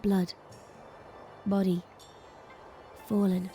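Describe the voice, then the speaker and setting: A woman's voice: mid-range voice, flat delivery, extremely slow, dark tone, soft background music. A woman narrator reading a scripted, dramatic story.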